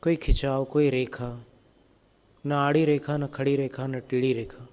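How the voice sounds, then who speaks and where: Calm guy leading guided meditation, really slow and gentle, soft mellow voice. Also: mid-range voice, clear, resonant tone. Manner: calm, gentle, unhurried step-by-step instruction. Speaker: Jain monk and meditation teacher.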